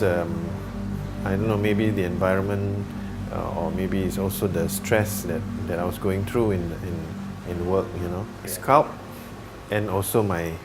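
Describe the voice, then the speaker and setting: A man in his forties giving a halting, tentative personal account. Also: low-pitched. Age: 40s. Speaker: interviewee, school worker. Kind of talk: personal testimony. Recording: loud music over the voice. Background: loud background music.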